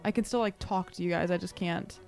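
A woman's voice: on the low side, fairly even intonation, fairly quick, gravelly, a little mellow, soft background music. A woman chatting casually as a player in a tabletop game podcast, slipping in and out of character. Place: small room around a table. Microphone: podcast microphone on table stand.

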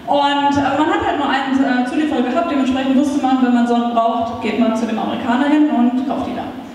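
A woman's voice: sits high, fast talker. A woman, giving a chatty conference talk as a startup founder into a handheld mic, with lots of filler sounds. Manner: lively, chatty, many fillers. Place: meetup hall, indoors, on stage. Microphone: handheld microphone. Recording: echoey hall, taped from far off.

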